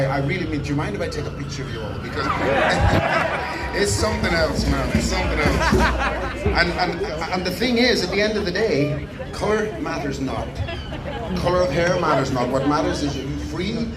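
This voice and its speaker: An Irish man giving an impassioned, rousing speech at an outdoor street protest, shouting at times. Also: on the high side, animated, normal speed. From Ireland. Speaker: rally speaker. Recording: roomy and distant over loud crowd noise. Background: large crowd.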